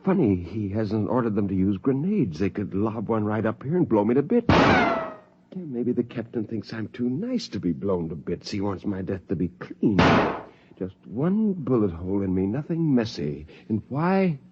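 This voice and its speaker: An actor playing a bitter, haunted rebel astronaut with brooding, defiant intensity in 1950s radio drama style. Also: male voice, on the low side, wildly swooping pitch, normal speed. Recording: dull, hissy 1950s radio recording.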